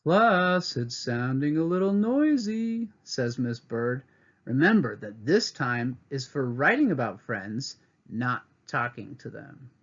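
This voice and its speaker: A man's voice: medium pitch, sing-song, takes it slowly, fairly bright tone, highs cut off. A man teaching a read-aloud lesson to children, reading a picture book and adding teacherly asides from home.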